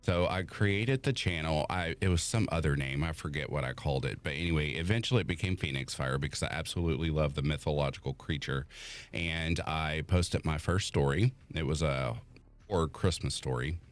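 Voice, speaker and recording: A man with a voice he calls made for radio, a podcast guest telling his story in an animated way and acting out what others said. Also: extremely low pitch, brisk. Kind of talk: casual chat on a podcast.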